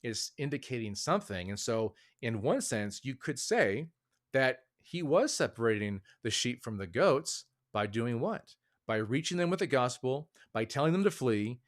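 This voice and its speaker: A man's voice: mid-range voice, very animated, moderate pace. A man as a podcast guest, explaining his views in a hedging, thoughtful way with many fillers. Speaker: author and guest. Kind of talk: casual interview talk.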